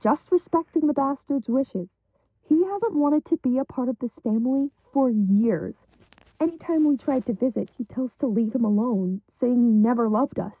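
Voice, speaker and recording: Through a dry, narrow phone-like band comes a woman acting as an embittered, guarded female relative. Her high voice is resigned and hesitant, in an audio drama. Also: moderate pace, breathy edge, dry recording. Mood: bitter.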